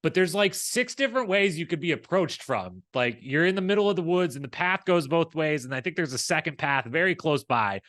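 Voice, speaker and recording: A man's voice: a little high, animated, a little fast, few pauses, fairly bright tone, no room sound. A man co-hosting a recap show with casual, conversational commentary, rambling along and often acting out imagined dialogue.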